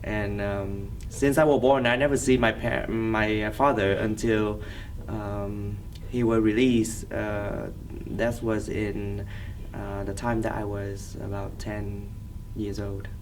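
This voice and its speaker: A man's voice: lowish voice, level tone. A man in his thirties from Vietnam, a native Vietnamese speaker with a Vietnamese accent, answering interview questions in a hesitant way, searching for words. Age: 30s. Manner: hesitant, halting, frequent pauses searching for words. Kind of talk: oral history interview, recollection. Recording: somewhat distant mic, faint background noise.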